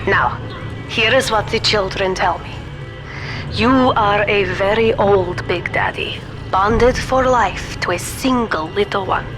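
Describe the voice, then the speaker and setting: A woman plays an earnest ally guiding the hero of a video game. She explains gravely and with urgency in a mid-range voice, with music clearly behind her. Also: medium pitch, slow pace, natural voice. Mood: urgent, instructive. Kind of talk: video game dialogue acting.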